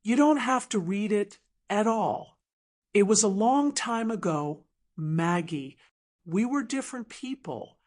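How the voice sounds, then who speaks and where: Woman voicing a soft-spoken, slow, tender middle-aged man. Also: medium pitch, expressive. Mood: quiet, tender.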